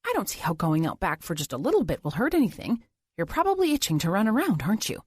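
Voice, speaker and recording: A woman's voice: mid-range voice, huge pitch swings, fast, darkish tone, slightly rough, dry recording, studio quality. A woman voicing a creepy, eager, doting man who holds someone captive, in audiobook dialogue. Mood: coaxing, fond. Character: creepy, eager, doting adult male captor.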